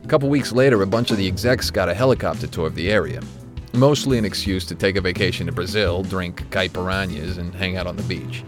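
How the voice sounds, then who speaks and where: A man's voice: low voice, fast, over music, lightly acted. An actor playing a retired, conscience-stricken corporate executive, an older man reminiscing in a documentary interview. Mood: troubled, reflective.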